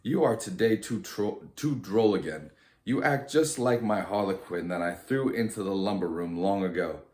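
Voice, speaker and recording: A man's voice: low-pitched, expressive, normal speed, darkish tone. A man voicing a cheeky, outspoken young boy in a storybook, with a blunt, eager manner. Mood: mocking.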